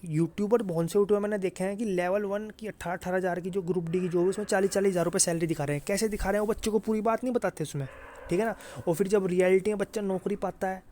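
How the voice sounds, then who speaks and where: Higher-pitched North Indian man explaining informally. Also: fairly even intonation, very fast, mellow, a little hiss or hum. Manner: conversational, repeats points and checks understanding with tag questions. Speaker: exam-prep YouTuber explaining job salaries. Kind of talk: informal explainer aimed at students.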